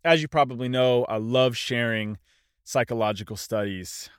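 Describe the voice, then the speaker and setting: A man's voice: mid-range voice, normal speed, smooth, no room sound, studio quality. A man in his thirties hosting his own podcast, talking loosely in one take, a little congested and nasal. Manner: loose, self-deprecating, unscripted one-take delivery. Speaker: podcast host. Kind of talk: casual solo podcast talk.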